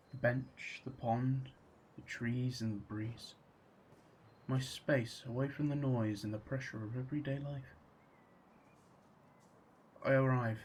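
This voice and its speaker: An actor playing an anxious, solitary man in a radio drama monologue, measured and introspective. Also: mid-range voice, a little hiss or hum, lightly acted. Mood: reflective.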